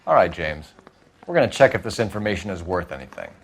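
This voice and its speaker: An actor playing a stern, by-the-book police detective in a video game, clipped, formal and authoritative. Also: male voice, low-pitched, big pitch swings, quick pace, gravelly, faint music behind, full character voice. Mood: businesslike. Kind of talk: scripted video game dialogue.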